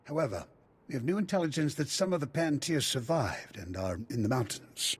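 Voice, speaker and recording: An actor playing a measured, diplomatic military commander in a video game, with a husky voice. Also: male voice, mid-range voice, very animated. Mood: earnest, grave.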